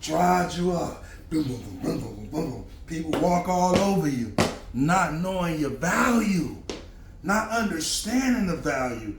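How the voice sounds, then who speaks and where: High-voiced man giving a motivational story. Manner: animated, repetitive, bursts into shouts. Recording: echoey room, distant mic, faint hiss.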